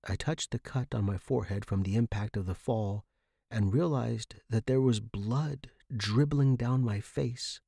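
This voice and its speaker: A man's voice: medium pitch, unhurried, vocal fry, warm tone, dry recording, studio quality. A man hosting a fiction podcast and narrating scripted horror in a dramatic style.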